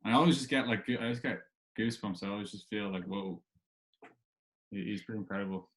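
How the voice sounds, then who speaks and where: A man's voice: on the low side, fairly even intonation, quick pace, fairly bright tone. Hesitant man hosting a casual podcast. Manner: hesitant, rephrases questions as they go. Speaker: podcast host, interviewer. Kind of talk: casual interview chat.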